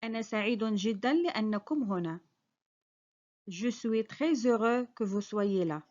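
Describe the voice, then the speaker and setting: High-voiced teacher woman drilling phrases. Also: animated, brisk, a little mellow, muffled recording. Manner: careful, clearly spoken model sentences, each repeated. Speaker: French teacher. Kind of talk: slow repeated phrase drills with quick translations.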